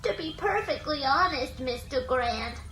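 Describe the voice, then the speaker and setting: High, girlish British ship's computer. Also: animated, unhurried, a bit bright, roomy sound. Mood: tearful, anxious. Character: girlish, timid, oversensitive female ship's computer, eager to please. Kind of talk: British radio science fiction drama.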